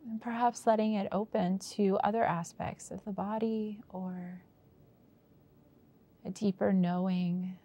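A woman leads a guided meditation at a very slow pace. Her voice is mellow and relaxed, with a creaky fry in it. She gives gentle prompts and leaves long pauses between phrases. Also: medium pitch. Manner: slow, pausing, unhurried delivery with gentle prompts. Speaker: meditation teacher.

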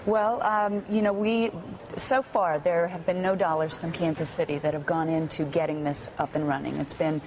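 A woman's voice: deep voice, fairly even intonation, normal speed, noisy background. A middle-aged woman from the United States answering questions in a TV news interview, earnest and a bit hesitant, with fillers. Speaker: guest, activist. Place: TV news studio.

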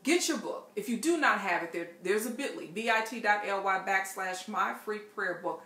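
A woman's voice: medium pitch, normal speed. A middle-aged woman hosting a casual church book talk, playful and emphatic, repeating her points. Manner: lively, playful, emphatic repetition. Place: living room, indoors. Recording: roomy echo.

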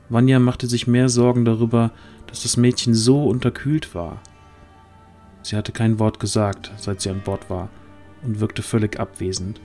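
A man's voice: fairly low pitch, darkish tone. A man narrating a fantasy audio drama as a scripted storyteller.

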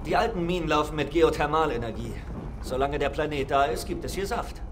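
An actor playing a young, wisecracking soldier squadmate in a video game. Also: male voice, on the high side, fairly quick, background noise, close to an everyday voice. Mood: wry. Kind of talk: video game voice acting, German dub.

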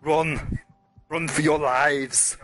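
A man voicing a panicky male super mutant who talks in halting broken English. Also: on the high side, steady tone, a bit bright, no echo, soft background music. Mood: panicked.

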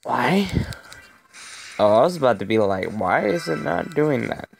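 A man's voice: mid-range voice, big pitch swings, a little slow, gravelly. A young man giving casual mobile gameplay commentary to his viewers, his voice strained and fading, chatty and easily frustrated with the game. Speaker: gaming video host.

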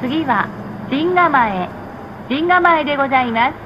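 A woman reading scripted stop announcements on a bus in a measured, formal, polite manner. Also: high-pitched, expressive. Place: played over speakers inside a moving bus. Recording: muffled, noisy recording inside a bus. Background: bus engine and road noise.

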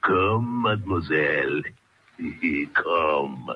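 An actor playing a crazed, eerily courteous old French hermit with a raspy voice, in old-time radio horror style. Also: male voice, rumbling low, expressive, drawn-out words, exaggerated voice. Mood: coaxing. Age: older. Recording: dull, lo-fi old radio recording.

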